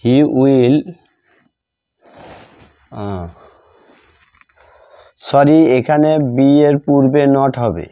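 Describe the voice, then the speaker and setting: A man with a Bengali accent teaching a grammar lesson step by step, halting and repeating phrases in short fragments as he writes. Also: medium pitch, lively intonation, warm tone. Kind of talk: step-by-step grammar lesson, spoken while writing. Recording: dull lo-fi sound.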